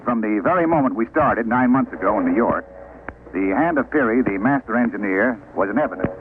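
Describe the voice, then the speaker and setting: An actor playing a confident, loyal expedition surgeon who explains things in an assured, emphatic way in an old-time radio drama. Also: male voice, lowish voice, lively intonation, brisk, close to an everyday voice. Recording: noisy, tinny vintage radio recording.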